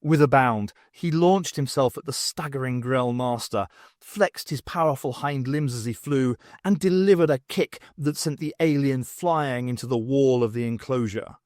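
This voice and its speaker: A man's voice: mid-range voice, moderate pace, darkish tone, dry recording, clean studio sound. A man reading an audiobook as scripted, dramatic story narration.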